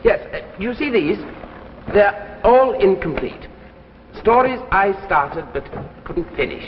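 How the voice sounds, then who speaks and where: An actor playing an older, courteous and persuasive successful author in a 1950s radio drama, with a theatrical, gracious manner. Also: male voice, high-pitched, lively intonation, slow pace. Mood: persuasive, insistent. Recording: muffled, hissy old radio recording, reverberant.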